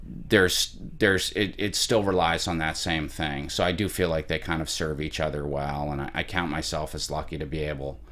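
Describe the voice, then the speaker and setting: A young man, an actor, answering interview questions in an earnest, measured way with some restarts. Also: extremely low pitch, fairly even intonation, fairly bright tone. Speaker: actor guest.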